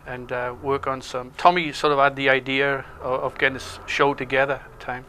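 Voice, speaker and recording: A Welsh man chatting casually in a local TV interview, talking about himself with lots of pauses and fillers. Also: mid-range voice, slight background noise. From Wales. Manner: relaxed, hesitant with many uh fillers. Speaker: show co-host and security instructor.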